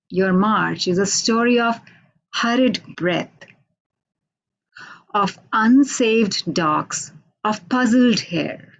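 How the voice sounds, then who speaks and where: Slow Indian-accented woman reading poetry. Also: lowish voice, poor audio. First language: Hindi. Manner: unhurried, halting, with many fillers. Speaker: poet. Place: radio station office.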